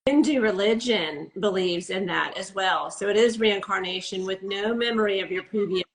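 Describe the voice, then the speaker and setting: A woman's voice: mid-range voice, moderate pace, rough recording. A woman hosting a casual live interview, responding with enthusiasm and short affirmations. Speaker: host.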